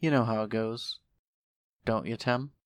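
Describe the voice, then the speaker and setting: Woman doing a laid-back, low-voiced male skeleton slacker. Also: unhurried, no echo. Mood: breezy, joking. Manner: casual, nonchalant, drawling slang. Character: laid-back, joking skeleton older brother, a lazy swindler.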